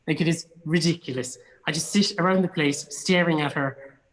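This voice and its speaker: An Irishman in relaxed, informal conversation, talking with enthusiasm about things he enjoys. His voice sits in an ordinary range, and he comes across as chatty, like a guest on an online show. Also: fairly high pitch, normal speed, poor audio. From Cork, Ireland. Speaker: guest, actor and theatre producer. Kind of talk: casual online chat. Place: indoors in a studio.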